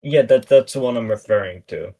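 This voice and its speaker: A man's voice: mid-range voice, fairly quick, dark tone. A man chatting casually about cars as a podcast co-host. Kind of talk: casual car chat. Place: remote voice chat.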